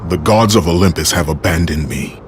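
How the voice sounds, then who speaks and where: A man playing a brooding, vengeful Greek warrior in a video game, with a gruff voice and a terse, grim delivery. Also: brisk, background noise, lightly acted. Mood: anguished despair. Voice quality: gruff, deep. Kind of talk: dramatic video game acting. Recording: loud music underneath.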